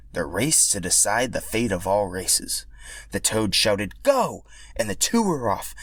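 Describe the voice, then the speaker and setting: A man narrating a scripted story for a podcast in an animated, expressive way. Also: fairly low pitch, dry recording.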